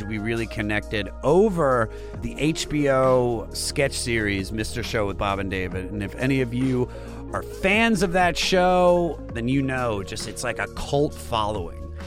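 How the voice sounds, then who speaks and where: A man hosting a music podcast in an excitable, casual, slangy way with lively banter. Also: mid-range voice. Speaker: podcast host.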